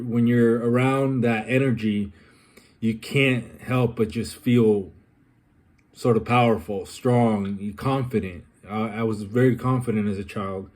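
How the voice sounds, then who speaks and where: A young man from Colombia talking through a personal, reflective vlog, pausing often with ums and uhs. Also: mid-range voice, darkish tone. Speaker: vlogger.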